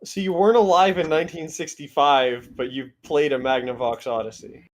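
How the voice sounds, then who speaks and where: A man's voice: on the high side, steady tone, moderate pace. A man chatting casually, with a slightly pedantic, corrective way of talking. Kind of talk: casual group chat.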